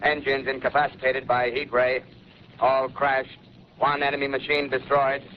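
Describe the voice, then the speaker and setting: An actor playing a radio operator in a 1930s radio drama, relaying reports in a flat voice. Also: male voice, medium pitch, moderate pace, a little hiss or hum, natural voice. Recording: lo-fi old radio broadcast.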